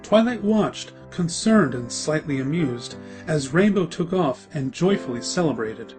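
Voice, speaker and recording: A man's voice: a little high, animated, measured pace, music in the background. A man reading scripted story narration as the narrator of a fan-fiction drama.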